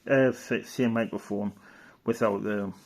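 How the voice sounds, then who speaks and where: A man's voice: lowish voice, steady tone, unhurried, darkish tone. A man giving casual, rambling show-and-tell commentary as a hobbyist, matter-of-fact and fond of tag questions. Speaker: hobbyist presenter.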